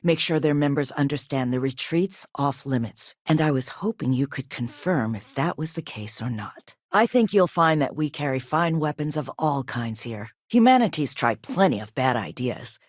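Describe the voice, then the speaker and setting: A woman voicing a composed, businesslike company owner in a video game, with a polite, measured and formal manner. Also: low voice, animated, no room sound, studio quality. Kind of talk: video game dialogue acting.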